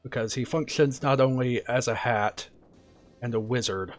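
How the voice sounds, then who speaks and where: A man streaming a video game, talking casually and quietly about what he's doing in a relaxed, chatty way. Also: mid-range voice, normal speed, faint music behind. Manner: soft-spoken, relaxed and chatty. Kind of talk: casual gameplay commentary.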